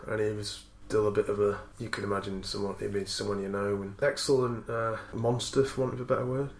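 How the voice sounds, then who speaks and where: A man's voice: lowish voice, little pitch movement, a little fast, vocal fry. A man in casual conversation, swapping anecdotes about films in an informal, sweary storytelling manner. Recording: roomy, echoey sound.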